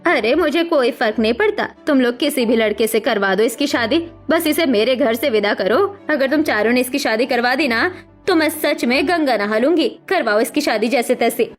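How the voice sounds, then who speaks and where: Woman voicing a harsh scolding mother, high-pitched. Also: animated, moderate pace, soft background music. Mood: angry, exasperated. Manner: scolding, exasperated. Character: harsh, scolding middle-aged mother, bitter and impatient. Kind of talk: cartoon story dialogue.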